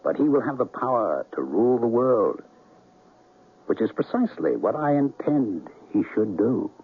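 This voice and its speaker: A grandiose mad alchemist from a radio thriller. His raspy, pompous voice swoops dramatically up and down. The sound is thin, narrow old radio. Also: medium pitch, very animated, moderate pace. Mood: gloating. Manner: pompous, declaiming. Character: grandiose mad alchemist bent on ruling the world. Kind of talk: theatrical old-time radio drama acting.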